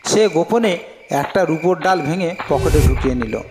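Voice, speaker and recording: A man's voice: fairly high pitch, lively intonation, moderate pace, noisy background. Reverberant male fairy-tale storyteller. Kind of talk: scripted fairy-tale narration. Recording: long reverb, music behind. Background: clear music.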